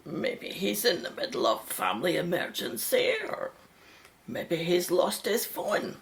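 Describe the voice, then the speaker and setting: Woman acting a practical, unhurried mother. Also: fairly low pitch, sing-song, creaky, heavily acted. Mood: reassuring. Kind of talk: radio play acting.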